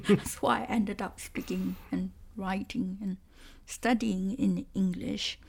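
A woman whose first language is Cantonese, talking thoughtfully in an interview, often halting and repeating words as she searches for them. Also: medium pitch, creaky, a little mellow. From Malaysia. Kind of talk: conversational interview.

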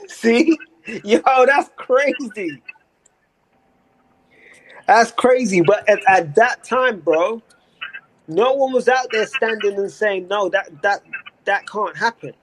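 A man's voice: sits high, animated, slow pace, long pauses, noisy background. Earnest slangy man in his forties. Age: 40s. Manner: earnest, rambling with restarts and slang. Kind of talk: casual conversation.

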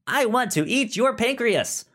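A man's voice: very high-pitched, animated, unhurried, fairly bright tone. A man co-hosting a podcast in casual chat, informal and speaking straight to the listeners. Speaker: podcast co-host.

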